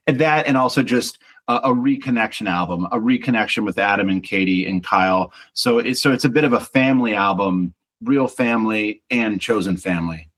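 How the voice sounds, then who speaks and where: Relaxed low-voiced man in interview. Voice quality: baritone voice. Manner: reflective, restarts with small repeats, chatty. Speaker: band singer and songwriter. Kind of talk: casual interview talk.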